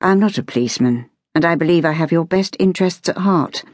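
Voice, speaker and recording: A narrator playing an educated, patient gentleman academic in an audiobook, speaking in a measured, formal and precise way. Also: mid-range voice, no room sound, lightly acted. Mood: calm, reassuring.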